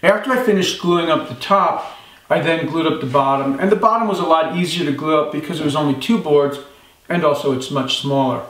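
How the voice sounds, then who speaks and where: A man in his thirties explains a woodworking build step by step in a steady, calm manner. His voice sits slightly high. The sound carries the echo of a hard-walled workshop, heard from a camera some distance away. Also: brisk. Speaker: woodworking channel host. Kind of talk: instructional workshop narration. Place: woodworking shop, indoors. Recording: echoey workshop room.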